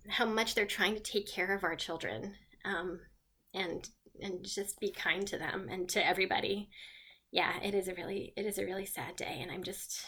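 A woman's voice: medium pitch, bright, forceful tone, slightly rough. A woman who writes children's books, speaking earnestly in a radio interview, with many fillers and restarts. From born in Venezuela, raised in South America and the US. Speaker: children's book author.

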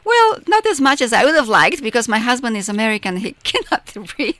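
A woman's voice: on the high side, big pitch swings, fairly quick, a bit bright, no room sound. A woman from Romania with a Romanian accent, a chatty, enthusiastic guest in a radio studio interview who laughs often. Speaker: festival organizer guest.

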